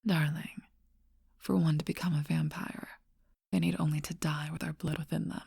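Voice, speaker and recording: Woman doing an ancient seductive vampire, close whisper, gravelly, breathy, low and flat. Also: no echo, heavily acted. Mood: mournful whisper. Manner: soft intimate whisper, slow and measured. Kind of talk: whispered ASMR role-play.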